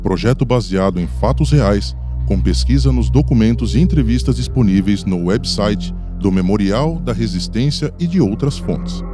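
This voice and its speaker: Man reading radio credits over clear music. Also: on the low side, lively intonation, moderate pace, few pauses. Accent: Brazilian. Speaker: credits announcer. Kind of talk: scripted radio credits read. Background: music behind the voice.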